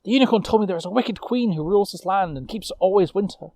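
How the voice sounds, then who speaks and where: A man voicing an excitable, idealistic young female witch knight in a storytelling, eager and persuasive. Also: high-pitched, quick pace, pitched above the performer's own voice. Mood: excited.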